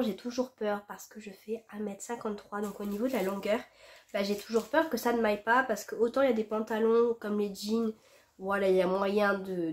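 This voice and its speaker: Young woman, stuffy from a cold, chatty home vlog delivery full of fillers. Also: medium pitch. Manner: chatty, many fillers and restarts. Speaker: fashion vlogger. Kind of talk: casual haul chat to camera. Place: at home, indoors.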